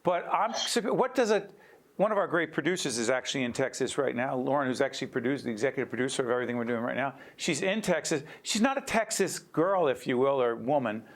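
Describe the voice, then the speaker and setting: A man's voice: medium pitch, expressive, quick pace. Talk-show host from New Jersey, male; jokey, rambling, restarts his questions. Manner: chatty, jokey, restarts and digresses. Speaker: interview host. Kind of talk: casual interview chat.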